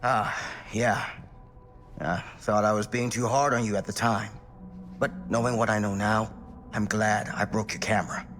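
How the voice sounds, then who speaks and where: An actor playing an ageing, haunted sheriff in a video game, halting and intense, worn down by confusion and obsession. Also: male voice, lowish voice, steady tone, fairly bright tone, slight background noise, natural voice. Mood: weary, guarded. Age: older. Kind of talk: video game cutscene acting. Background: music.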